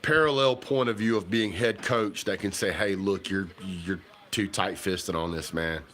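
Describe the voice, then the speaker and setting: A man, chatting casually to viewers on a livestream while stuffy and hoarse from a cold. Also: sits low, moderate pace, a bit bright. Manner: rambling, folksy, talks to viewers directly. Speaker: livestream host. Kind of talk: casual livestream chat about football. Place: home kitchen.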